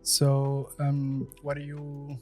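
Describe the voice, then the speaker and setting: A man's voice: on the high side, completely flat delivery, extremely slow, darkish tone, faint music behind. A man hosting an informal podcast interview, asking questions with a few fillers. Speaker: podcast host. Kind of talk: casual interview.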